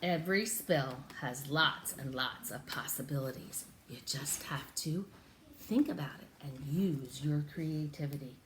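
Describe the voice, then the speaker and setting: A woman teaching and reading a picture book aloud to young children, interactively, in an exaggerated, expressive way with drawn-out words. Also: sits low. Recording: echoey room with faint background noise.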